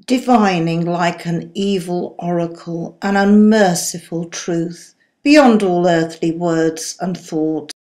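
A woman reciting poetry in a solemn, deliberate, dramatic and declamatory manner. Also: lowish voice, darkish tone. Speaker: poetry reader.

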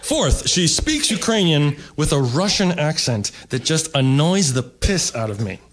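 A man's voice: on the high side, very animated, unhurried. Wry man telling a rambling comic story. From United States. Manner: wry, rambling with fillers, mock-snobbish asides. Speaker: stand-up comedian. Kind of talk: stand-up storytelling. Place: seated at a table, indoor stage.

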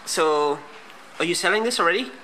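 A man's voice: sits high, expressive, moderate pace, sharp tone, poor audio. A man interviewing casually on a walk-around tour, inquisitive, with short, repeated questions. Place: busy small factory and office. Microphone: handheld camera, speaker behind it. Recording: faint workplace noise.

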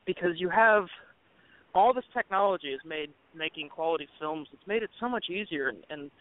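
A film director from Colorado, talking casually and joking in an interview with plenty of fillers. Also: male voice, high voice, lively intonation, fairly quick. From Colorado, USA. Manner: joking, talkative, thinks aloud with fillers. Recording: tinny phone line.

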